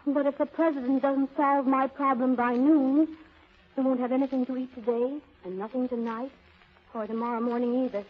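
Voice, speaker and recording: On a thin, tinny old radio recording, a poor young woman hunting for a job. Her voice is high, earnest and pleading, with plucky eagerness in a theatrical 1930s manner. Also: steady tone, moderate pace, slightly rough. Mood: earnest, plaintive. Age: young adult. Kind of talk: 1930s radio drama acting.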